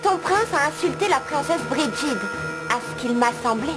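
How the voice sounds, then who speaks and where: A woman voicing a small, excitable, cheeky companion in a dubbed animated fantasy film. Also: high-pitched, normal speed. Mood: puzzled. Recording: very loud music under the dialogue.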